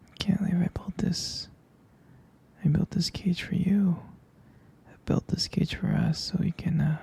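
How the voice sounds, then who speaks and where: A very husky, dark whisper from a possessive boyfriend acted out by a man in an ASMR roleplay. He coos and coaxes with slow, halting menace, his breathy voice heavy with gravelly creak. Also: high voice, steady tone, full character voice. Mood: possessive, coaxing. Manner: cooing, coaxing, slow and halting. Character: obsessive possessive boyfriend captor, sweetly menacing.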